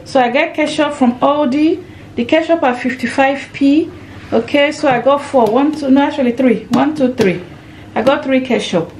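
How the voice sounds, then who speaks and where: A fairly high-voiced woman chats casually to her viewers while she walks around a table of groceries in her kitchen. She counts items aloud and keeps calling her audience "guys". A faint hum of room noise sits behind her. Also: vocal fry, a little mellow, low-quality recording. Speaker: lifestyle vlogger and mum. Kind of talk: casual grocery haul narration. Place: home kitchen, indoors.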